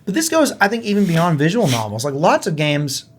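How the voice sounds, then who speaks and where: A man's voice: on the high side, huge pitch swings, a little fast, a little hiss or hum. A man co-hosting a podcast in casual conversation, musing informally with lots of filler words like "like". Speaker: podcast co-host.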